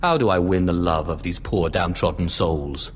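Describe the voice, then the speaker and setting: Deep-voiced, menacing Sith lord played by an actor. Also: male voice, normal speed, slight background noise, close to an everyday voice. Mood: cold, sardonic. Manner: curt, commanding, sardonic.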